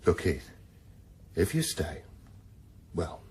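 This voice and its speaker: A measured police detective in a radio play, with a lowish voice. He is calm and drily wry, with faint noise and ambience behind him. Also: full character voice. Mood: measured, concerned. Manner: understated, dry. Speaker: actor in radio drama. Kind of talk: 1990s radio drama acting.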